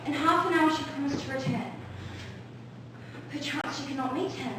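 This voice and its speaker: A woman's voice: high-pitched, moderate pace, natural voice. A woman playing an eager, impatient teenage girl in love, declaiming and pleading in Shakespearean stage style. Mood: impatient, longing. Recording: very echoey, distant, noisy stage recording.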